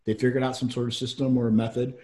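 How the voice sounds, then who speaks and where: A man's voice: mid-range voice, fast. Chatty man with a faint Southern accent. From Georgia, US. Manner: rambling, animated, frequent restarts. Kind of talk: casual chat.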